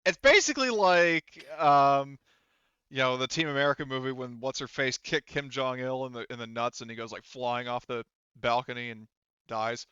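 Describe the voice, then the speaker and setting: A man narrating a tabletop game as game master, casually and haltingly, with some swearing. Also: medium pitch, pushed and bright, clear, resonant tone, dry recording, dull audio. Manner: halting, profane.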